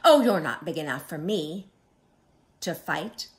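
A woman voicing a grouchy, bossy cartoonish storybook ladybug that shouts and blusters, looking for a fight. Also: lowish voice, big pitch swings. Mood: blustering. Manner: shouting, blustering, drawn-out demands.

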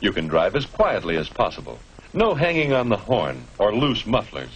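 A man's voice: on the low side, huge pitch swings, normal speed. A man narrating a 1950s educational film in an instructive manner, putting rhetorical questions to the viewer. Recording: noisy old 1950s film soundtrack.

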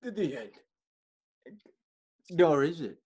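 A man's voice: fairly high pitch, husky, heavily acted. A man playing a smug, swooping amateur writer. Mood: melodramatic suspense. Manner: smug, animated, chuckling, dramatic pauses. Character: pompous, melodramatic amateur writer giving writing advice. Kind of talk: theatrical comedic monologue.

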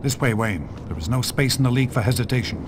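An actor playing a stern mentor of a secret warrior order, formal, measured and didactic. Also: male voice, mid-range voice, music in the background, lightly acted. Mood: stern, instructing. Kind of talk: scripted game dialogue.

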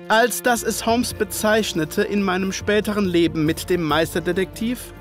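An actor playing a gentlemanly memoirist, narrating a detective radio play in a formal, expressive storytelling manner. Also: male voice, high voice. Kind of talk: scripted first-person narration in radio play.

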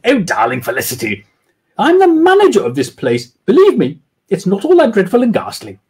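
A self-satisfied office manager of a woman, as a man plays her, brisk and a little evasive. Her voice sits well above his own and swings up and down lively, with a husky edge. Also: sits high, pitched above the performer's own voice, full character voice. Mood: smug. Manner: smug, brisk. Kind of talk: storybook reading.

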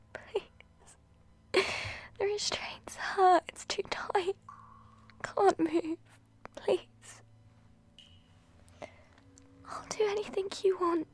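Captive young woman, tearful whispered pleas, very high and slow, a woman acting her. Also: exaggerated voice. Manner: breathy tearful whispering, pleading. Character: frightened young woman student held captive. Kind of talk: audio roleplay drama.

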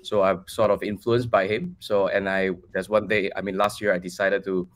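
A Malaysian-accented man in his forties talks as a guest on a video call. His voice is somewhat low, and he answers questions in a casual, conversational way. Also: flat delivery, normal speed. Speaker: guest, crypto project team member. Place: bright room at home, video call.

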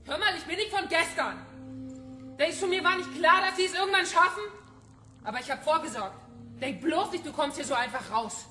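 Cold vengeful captor, sharp bright voice, echoing space, radio crime drama. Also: female voice, sits high, fairly quick, slight background noise. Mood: cold, threatening. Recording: echoing space, faint music.

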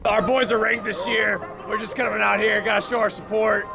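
A young man, a loud and rowdy sports fan, being interviewed at an outdoor stadium. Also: sits high, level tone, brisk, gravelly. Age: young adult. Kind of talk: excited interview. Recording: stadium crowd and music behind.

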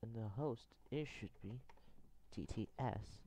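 A man hosting a casual software tutorial, thinking aloud in a halting, hesitant way. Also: medium pitch. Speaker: tutorial host. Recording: noisy room, keyboard and mouse clicks. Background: typing and mouse clicking.

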